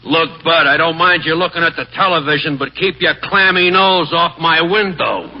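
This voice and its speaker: A man's voice: on the high side, moderate pace, close to an everyday voice. Man as an irritated storekeeper, echoey noisy radio. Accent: American. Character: irritated, bossy storekeeper scolding a loiterer. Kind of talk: 1940s radio comedy sketch acting. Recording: echoey, noisy, dull 1940s radio recording.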